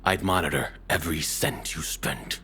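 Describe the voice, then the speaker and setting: A man playing a controlling, cruel ex-boyfriend in an intimate audio roleplay, with a husky, rough-edged voice and a taunting, domineering, deliberate delivery. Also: very deep voice, expressive, sharp tone, slight background noise, full character voice. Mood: scornful.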